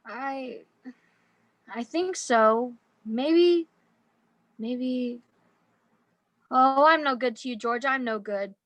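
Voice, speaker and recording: A woman playing a befuddled, helpless old soul in a radio play, fretful and halting, repeating words. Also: sits high, no room sound. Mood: distraught, helpless. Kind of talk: radio drama acting.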